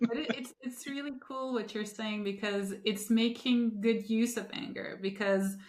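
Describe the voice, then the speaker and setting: A woman's voice: on the high side, fairly even intonation, normal speed, a little mellow. Woman host in an echoey room. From Turkey. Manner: earnest, many fillers and rephrasings. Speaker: podcast host. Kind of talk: conversational interview.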